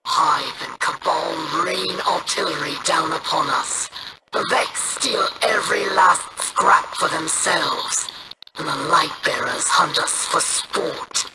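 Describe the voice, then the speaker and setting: A woman voicing an imperious, threatening alien pirate queen in a video game, recorded over loud background noise. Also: low-pitched, takes it slowly. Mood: defiant.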